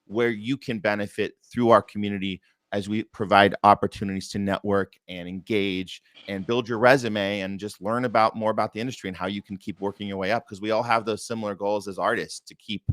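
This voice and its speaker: Low-voiced American man hosting casual chat. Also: steady tone, fairly quick, hardly any pauses, fairly bright tone, no room sound. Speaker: host of an arts community show.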